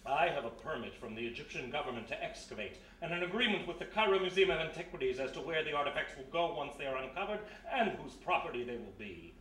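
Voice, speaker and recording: A man's voice: a little high, animated, quick pace, hardly any pauses, fairly bright tone. A man acting a stern father, very echoey hall. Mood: indignant. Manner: firm, blustering, authoritative. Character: stern older wealthy father, dismissive treasure-seeking excavator. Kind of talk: live radio mystery drama acting. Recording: very echoey hall, distant mic, faint noise.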